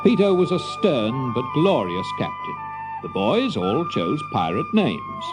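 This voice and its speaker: Expressive male storyteller over music. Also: mid-range voice, unhurried. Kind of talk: dramatic scripted storybook narration.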